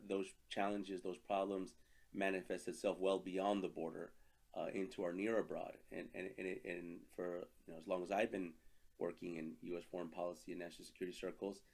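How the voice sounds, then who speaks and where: A man's voice: sits low, a little mellow. A man speaking on a webinar panel, slightly nasal from a cold, with frequent restarts and fillers. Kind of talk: webinar talk. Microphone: video call.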